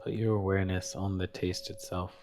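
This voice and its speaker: A man leading a guided meditation as a teacher, gently and unhurriedly, leaving frequent pauses between phrases. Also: sits low, level tone, background noise.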